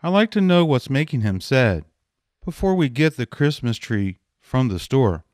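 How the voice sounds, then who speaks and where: A man's voice: mid-range voice, sing-song, normal speed, a little mellow, no room sound, studio quality, natural voice. A man voicing a kind, reassuring father in a rhyming Christmas story. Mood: gentle. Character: kind, steady young father. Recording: guitar music underneath. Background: acoustic guitar.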